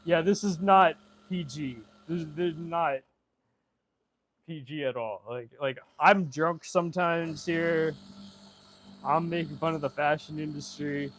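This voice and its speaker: Chatty young man, slightly high voice. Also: measured pace, a bit bright, slight background noise. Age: 20s. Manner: reads viewer comments aloud and rambles back; laughs a lot, stumbles and repeats words. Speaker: streamer. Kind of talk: casual livestream chat.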